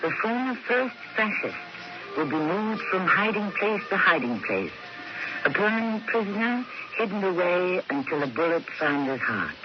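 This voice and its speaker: A man hosting and narrating a radio drama, with grave, theatrical, deliberate phrasing. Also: sits high, sing-song, over loud music. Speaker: host and narrator. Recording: muffled, very noisy old radio recording.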